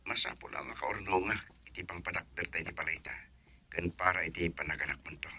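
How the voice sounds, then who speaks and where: A man playing an earnest, hardworking young husband who speaks gently to his wife in an Ilocano radio drama. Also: low-pitched, steady tone, quick pace, slightly rough, full character voice. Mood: tender, hushed.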